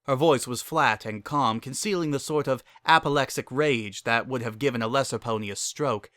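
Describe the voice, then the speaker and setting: A man's voice: mid-range voice, normal speed, fairly bright tone, dry recording. A man giving a scripted, dramatic narration of a story.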